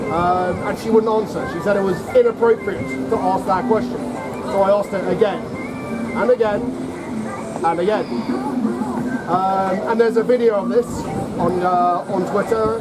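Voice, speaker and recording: A man from Hong Kong giving a personal account to a gathering, in a halting, measured way. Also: sits high. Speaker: guest speaker, honorary lecturer. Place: outdoors in an urban public space. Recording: very noisy outdoor street with traffic.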